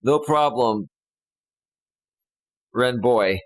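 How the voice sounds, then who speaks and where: A man's voice: medium pitch, extremely slow. A man hosting a casual live stream as a life coach, joking loosely with his chat viewers. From United States.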